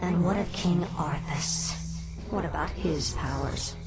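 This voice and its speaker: A woman's voice: low-pitched, measured pace, poor audio. An actress playing a proud, sardonic undead female commander in a video game cutscene, cool and pointed in delivery. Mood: cool, wary. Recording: loud music behind the voice.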